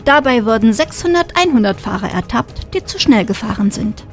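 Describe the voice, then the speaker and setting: A woman's voice: a little high, lively intonation, normal speed, soft background music. A woman presenting radio news in a studio and interviewing a new volunteer in a friendly way.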